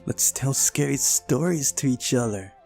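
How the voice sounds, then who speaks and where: A man's voice: medium pitch, expressive, a little slow, creaky, darkish tone, faint music behind, pitched above the performer's own voice. A man voicing a feisty, childlike girl in a visual novel, bossy, teasing and pouty.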